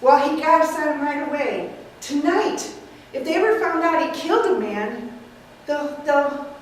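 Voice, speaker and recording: A woman's voice: high-pitched, animated, moderate pace, slight background noise. A woman reading the part of an exasperated young male nephew, pressing anxious questions in an echoey room, far from the mic. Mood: incredulous. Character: fretful young male nephew, a drama critic.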